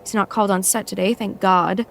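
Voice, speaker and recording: A woman's voice: medium pitch, steady tone, brisk, gravelly, slight background noise, lightly acted. A woman playing a blunt, exasperated assistant in an audio drama, firm, articulate and dryly sarcastic. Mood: dry, brisk. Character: blunt, exasperated female assistant scolding her boss. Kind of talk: naturalistic audio drama acting.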